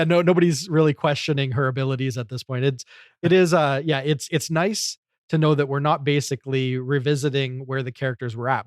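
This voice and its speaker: A man's voice: medium pitch, dry recording, clean studio sound. A man co-hosting a podcast, discussing film casually in a thoughtful, explanatory way. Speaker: podcast host.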